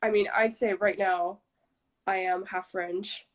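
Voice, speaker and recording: A young woman guest on a video call, speaking in a relaxed, reflective way with lots of "like" and "you know.". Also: medium pitch, measured pace. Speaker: interview guest. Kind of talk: casual interview chat.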